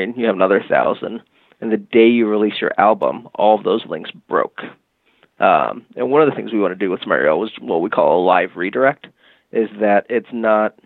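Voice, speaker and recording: A man guesting on a podcast, explaining his agency's tools in a measured way with frequent fillers, over a remote line. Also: lowish voice, creaky, dry recording. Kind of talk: casual interview talk. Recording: tinny phone-like line.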